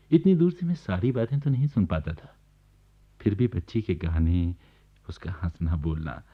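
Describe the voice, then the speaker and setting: An actor playing a genial, wry government officer laid up in bed, confiding in the listener as a radio play narrator. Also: male voice, low voice. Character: genial bedridden government officer recounting his neighbours' life. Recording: dull archival radio recording.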